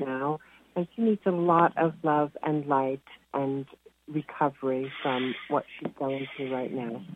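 A woman's voice: lowish voice, dry recording. An older woman calling in by telephone, making an earnest, courteous prayer request. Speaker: caller offering thanks and prayer requests. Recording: thin telephone line.

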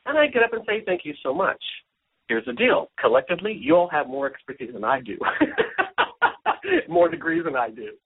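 Animated man on a phone-like line. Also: a little high, normal speed, creaky, breathy edge. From Hawaii. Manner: animated, chatty, self-deprecating. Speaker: business strategist guest. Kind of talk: casual talk show interview. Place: at home. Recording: phone-like audio.

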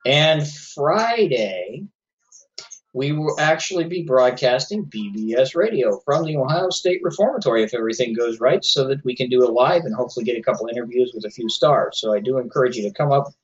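A man at a middle pitch hosts a talk radio show on his own, speaking straight to his listeners in an earnest, lecturing way. His voice is going a little hoarse. The audio is slightly dull and lo-fi. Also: lively intonation, a little fast. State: losing his voice. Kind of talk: solo talk radio monologue.

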